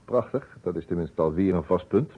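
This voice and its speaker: Very low-voiced wry investigator. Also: male voice, normal speed, slightly rough. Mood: polite, probing. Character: male investigator, wry, brisk, methodical. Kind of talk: Dutch radio play acting. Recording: phone-like old radio recording, faint noise.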